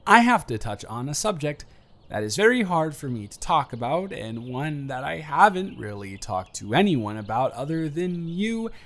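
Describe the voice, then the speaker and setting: A man performs a nervous young man in an intimate audio drama, opening up to a close friend. His delivery is emotional and rambling, halting at times and then emphatic. The pitch is very animated, moving widely from phrase to phrase. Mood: nervous, resigned. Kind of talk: intimate scripted audio roleplay.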